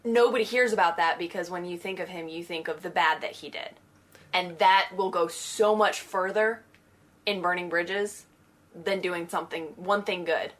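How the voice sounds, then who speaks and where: A woman with a bright, clear tone joins a relaxed podcast discussion. She speaks earnestly and sets out her point plainly. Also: lowish voice, moderate pace. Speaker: podcast co-host. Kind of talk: casual podcast chat.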